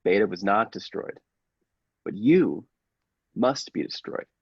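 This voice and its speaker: A man playing a megalomaniac robot who passes for human, a coldly menacing villain speaking formally and deliberately in a classic radio play. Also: lowish voice, big pitch swings, breathy edge, no room sound, highs cut off, voice pushed down, heavily acted. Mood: gloating, menacing.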